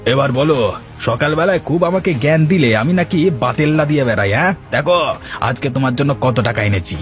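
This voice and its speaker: A man's voice: medium pitch, brisk, soft background music, lightly acted. A man playing a boastful, quarrelsome village man in a cartoon, arguing in a blustering, defensive way. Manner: blustering, argumentative. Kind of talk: Bengali cartoon dialogue dub.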